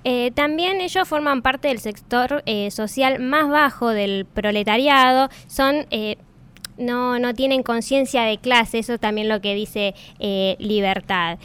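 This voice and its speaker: A woman's voice: on the high side, slow pace, a bit bright, clear, resonant tone. A woman, giving informative explanations of facts and words as a podcast co-host.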